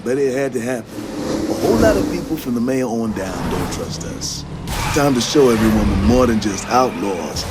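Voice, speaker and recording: An actor playing an earnest, streetwise street racing crew leader in a video game cutscene, talking in a colloquial, persuasive way. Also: male voice, medium pitch, very noisy, close to an everyday voice. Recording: music behind the voice.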